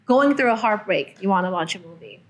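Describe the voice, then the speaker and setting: A woman's voice: mid-range voice, big pitch swings, fairly quick. A woman being interviewed, chatting casually with frequent fillers and restarts. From United States. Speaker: interview guest, TV host and food influencer.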